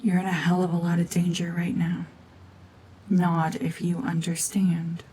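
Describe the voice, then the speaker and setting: A woman voicing a cold, mean renegade gorgon witch in a hushed, half-whispered ASMR drama, domineering and sardonic. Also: on the low side, monotone, unhurried, slight background noise, close to an everyday voice. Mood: cold menace.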